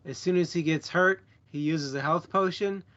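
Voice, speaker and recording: A man casually discussing books in an informal, slangy and deliberate way. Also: a little high, a bit bright, dull audio. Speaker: book club stream co-host.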